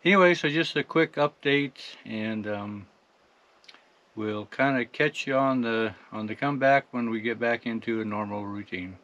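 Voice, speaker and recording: A man in his sixties with an American accent gives a casual, unhurried, folksy update to camera from inside a truck cab. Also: medium pitch, a bit bright, dull audio. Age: 60s. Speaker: farm truck driver and video host.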